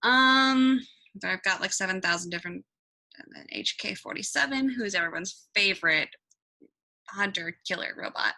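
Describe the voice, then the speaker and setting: A woman giving a casual, improvised software tutorial, thinking out loud and swearing offhandedly as she goes. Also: mid-range voice, expressive, moderate pace. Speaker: tutorial presenter.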